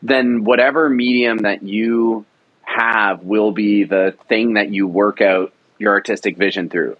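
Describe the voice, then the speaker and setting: A man's voice: fairly low pitch, highs cut off. A man producer talking in an interview, enthusiastic and rambling, with many restarts. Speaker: radio drama producer. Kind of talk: casual interview talk.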